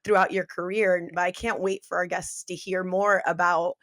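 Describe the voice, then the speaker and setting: A woman's voice: on the low side, little pitch movement, normal speed, fairly bright tone. A woman hosting a podcast, giving an enthusiastic introduction and asking upbeat interview questions. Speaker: podcast host.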